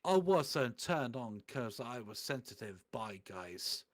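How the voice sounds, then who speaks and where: A man reading fan fiction aloud on a stream in a comic dramatic style, swearing and halting over misspelt words. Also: mid-range voice, very animated.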